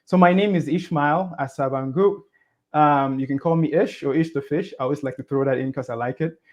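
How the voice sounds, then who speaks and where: A man talking as a podcast guest, his voice a little higher than most men's. He tells his life story in a relaxed, animated way and pushes his voice up on the points he stresses. The audio is dull and lo-fi. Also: brisk. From Cameroon. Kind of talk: casual interview storytelling.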